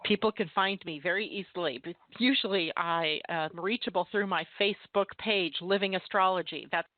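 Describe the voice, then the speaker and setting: A woman's voice: on the low side, moderate pace, no room sound. A woman from the Pacific Northwest talks as an astrologer guest in casual, earnest chat. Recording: dry phone-like line.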